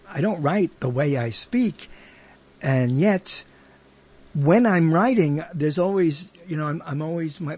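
A man hosting a conversational interview, speaking in a halting, rambling way and often repeating himself. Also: a little high, a little mellow, slightly rough. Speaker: interviewer, host.

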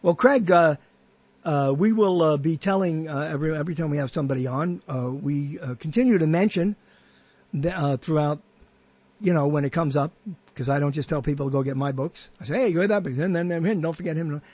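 A man's voice: fairly high pitch, a little fast, a little mellow, breathy edge, no room sound. A man hosting a podcast, chatting casually and rambling with many restarts and half-finished thoughts. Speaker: podcast host. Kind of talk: casual interview chat.

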